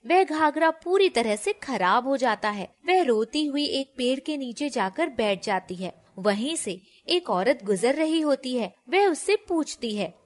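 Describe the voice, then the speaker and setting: A woman narrates a moral tale from a script. Her voice is fairly high, and she speaks as a storyteller. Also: animated, unhurried, hardly any pauses.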